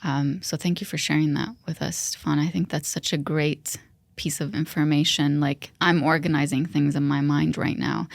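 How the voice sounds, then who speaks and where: A woman whose first language is Arabic talks through a personal story on a podcast. Her voice sits low, and her manner is reflective and earnest. The sound is dry and close to the mic. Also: little pitch movement, moderate pace, creaky, no echo. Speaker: podcast co-host. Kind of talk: casual podcast conversation.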